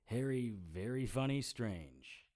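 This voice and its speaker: An actor playing a wry, hard-boiled supernatural investigator in an audio drama, with deadpan, sardonic noir delivery. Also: male voice, medium pitch, takes it slowly. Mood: teasing, dry.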